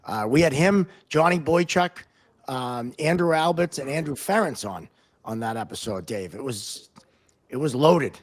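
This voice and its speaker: Amerikanischer Podcast-Moderator, mittlere Stimmlage. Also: male voice, mid-range voice, animated, moderate pace. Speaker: podcast host, hockey referee. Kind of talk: casual podcast interview.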